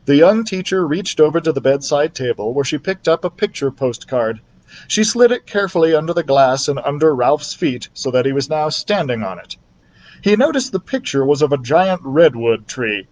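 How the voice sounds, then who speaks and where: A man's voice: on the high side, darkish tone. A man narrating a children's storybook expressively, as a library storyteller reading aloud.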